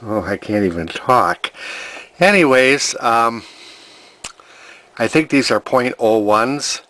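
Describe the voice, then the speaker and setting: A man's voice: mid-range voice, lively intonation, slow, bright, forceful tone. A man narrating an electronics repair casually, thinking aloud in a hesitant, rambling way. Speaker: vintage electronics repair hobbyist.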